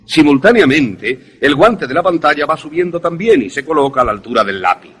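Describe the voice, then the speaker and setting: Male actor as enthusiastic professor, radio suspense play, didactic, theatrical, husky edge, animated pitch. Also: a little fast. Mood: eager, instructive. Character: enthusiastic male professor demonstrating an invention to students. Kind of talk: suspense radio drama acting.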